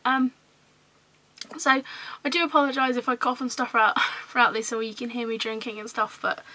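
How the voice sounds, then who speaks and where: A woman with a hoarse voice, recovering from illness, chatting casually through a scrapbooking vlog with many ums. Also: on the high side, flat delivery, brisk, pushed and bright, no echo. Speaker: scrapbooking vlogger. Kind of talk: casual show-and-tell vlog.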